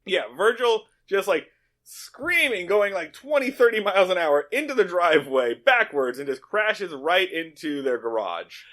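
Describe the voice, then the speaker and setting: Higher-voiced man recapping TV casually. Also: very animated, moderate pace, a bit bright. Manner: profane, informal, acts out quoted lines. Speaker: podcast co-host. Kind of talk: casual comedic TV episode recap.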